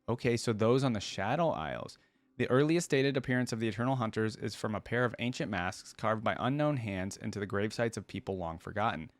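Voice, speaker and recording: A man's voice: fairly low pitch, no echo. A man hosting a reaction video, switching between casual commentary and reading aloud.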